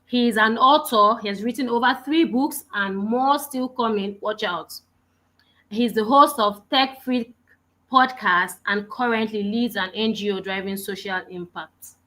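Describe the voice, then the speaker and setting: A woman moderating an online webinar, reading out a speaker's introduction in a measured, announcing way. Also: on the high side, sharp tone, poor audio.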